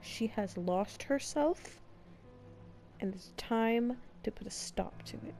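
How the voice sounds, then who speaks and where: Female gameplay streamer: higher-pitched, slow-paced, sassy asides, music playing behind. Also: expressive, dark tone. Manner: sarcastic, sassy interjections. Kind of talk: live reaction commentary over a game. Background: game music.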